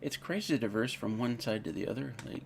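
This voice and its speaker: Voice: medium pitch, animated, fairly quick, creaky, slight background noise. A co-host chatting casually during a livestream at an off-grid cabin, musing aloud and laughing lightly. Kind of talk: casual chat.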